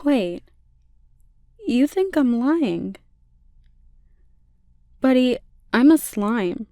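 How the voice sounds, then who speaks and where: A gentle slime monster girl, played by a woman for an ASMR roleplay, speaking softly and intimately. Her voice is fairly high but dark and mellow. It is recorded very dry and close, with a caring, lightly teasing manner. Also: animated, extremely slow, dry recording, full character voice. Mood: caring, puzzled. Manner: soft, soothing, intimate delivery.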